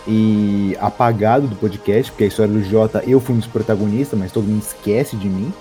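Young Brazilian male guest, late teens, low dark voice, mock-grand casual storyteller. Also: fairly even intonation, brisk, soft background music. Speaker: podcast guest.

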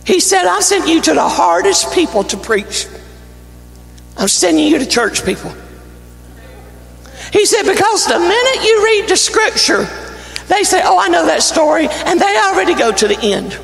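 A man with a North Carolina Southern accent, an evangelist praying and preaching a fervent sermon that builds to shouting in a church. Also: very high voice, vocal fry. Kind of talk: sermon with opening prayer. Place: church sanctuary. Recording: church hall reverb, faint music.

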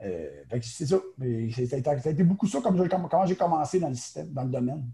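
Quebec French male guest, naturopath, casual, higher voice, very warm tone. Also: expressive. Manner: chatty, quick, many fillers and restarts. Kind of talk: casual interview talk.

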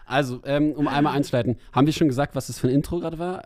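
A man's voice: on the high side, rapid-fire, darkish tone, dry recording, clean studio sound. A young man co-hosting a casual podcast, rambling with lots of fillers and frequent laughter. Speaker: podcast co-host. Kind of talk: casual chat. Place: in Paris, remote from co-host.

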